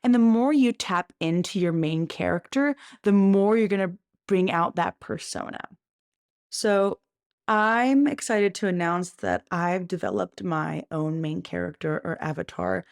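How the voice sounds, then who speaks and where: A woman with a lowish voice and plenty of vocal fry hosts a motivational podcast. She talks in an earnest, encouraging way and often drops in a "right?" as she goes. She is recorded dry and close on the mic. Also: unhurried, dry recording. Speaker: podcast host.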